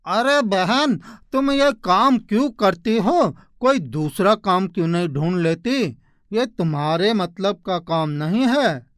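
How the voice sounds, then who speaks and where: High-pitched, slow, concerned porter played by a man. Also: animated, fairly bright tone, no room sound, clean studio sound, heavily acted. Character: concerned male railway porter, sympathetic fellow worker. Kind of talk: animated moral story dialogue in Hindi.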